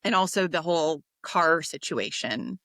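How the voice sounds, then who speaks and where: A woman from the United States, a podcast guest, talking in a relaxed, reflective way with fillers and restarts. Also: low-pitched, measured pace, no room sound. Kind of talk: casual interview talk.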